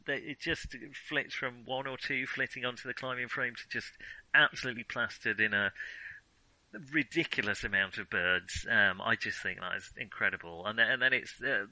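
A man's voice: on the low side, bright, forceful tone, dry recording, poor audio. A man co-hosting a casual film discussion, rambling and hesitating as he thinks aloud. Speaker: podcast co-host.

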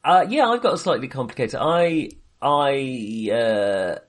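A man co-hosting a podcast, talking casually about films in a hesitant, halting way. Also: medium pitch, lively intonation. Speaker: podcast co-host. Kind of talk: casual film discussion.

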